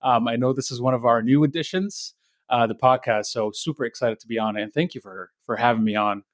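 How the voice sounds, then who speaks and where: A young man from Egypt, a guest on a podcast, speaking in a soft and friendly way with many ums. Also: medium pitch, brisk, no room sound, clean studio sound. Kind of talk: casual interview.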